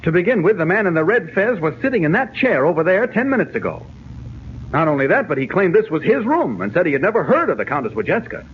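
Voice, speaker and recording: An actor playing an earnest, insistent reporter investigating a mystery, urgent and persuasive, in a 1940s radio serial. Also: male voice, sits high, big pitch swings, fast. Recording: scratchy, narrow-band 1940s radio recording.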